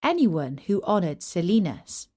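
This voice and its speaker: A woman reads a children's tale with lively, swooping intonation. Her pace is slow and deliberate, each phrase carefully set out in an expressive storytelling manner. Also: lowish voice. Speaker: storyteller, narrator. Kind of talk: scripted children's storytelling narration of a Greek myth.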